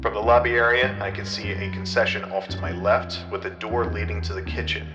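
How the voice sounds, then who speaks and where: An actor playing a self-important, earnest but dim hard-boiled detective in a comedic audio drama, with melodramatic noir delivery and music behind him. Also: male voice, fairly low pitch, fairly even intonation, a little fast, lo-fi sound, close to an everyday voice. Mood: dramatic narration. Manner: melodramatic first-person noir narration. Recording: music bed under the voice.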